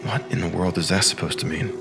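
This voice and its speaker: A man's voice: low voice, steady tone, quick pace, gravelly. A man playing a young man in a dramatic comic dub. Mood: bewildered. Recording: voice over background music. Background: music.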